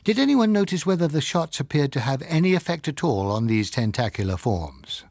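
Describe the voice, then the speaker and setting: A man's voice: fairly high pitch, sing-song, creaky, darkish tone, dry recording, studio quality, full character voice. Man playing a learned, drily ironic scientist, swooping intonation. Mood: brisk, dry. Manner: deliberate, lecturing, crushing. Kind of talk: dramatised audiobook reading.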